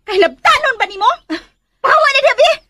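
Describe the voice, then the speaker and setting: A woman's voice: expressive, fairly quick, pushed and bright, slight background noise, full character voice. A woman playing a furious, bratty young woman who snaps at people in a Cebuano radio drama. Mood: furious, tearful. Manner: shrill, snapping, confrontational.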